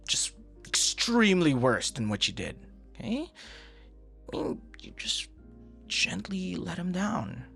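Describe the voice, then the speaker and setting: A man acts out an earnest, friendly young man in an intimate audio roleplay. His pitch moves in a very lively way and often frays into fry. He speaks conversationally, hesitating and repeating himself as if easily flustered, with faint music behind him. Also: exaggerated voice. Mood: sympathetic, reassuring. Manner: hesitant, halting, soft-spoken asides, repeats words. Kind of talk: ASMR boyfriend roleplay, conversational.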